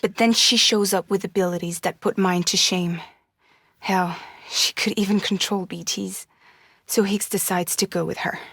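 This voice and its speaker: A woman's voice: mid-range voice, steady tone, moderate pace, creaky, a bit bright, slightly rough, no room sound, studio quality. An actress playing a weary, wry young woman courier in a video game, guarded but caring, speaking in an understated, intimate way. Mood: weary, serious.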